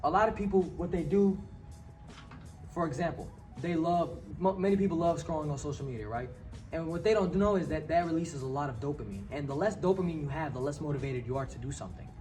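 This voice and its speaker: A man's voice: a little high, fast talker, a little mellow, distant mic. A young man from Palestine, a podcast guest, telling a personal story in casual chat, earnest and reflective, often restarting his phrases. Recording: set back from the mic, with music behind.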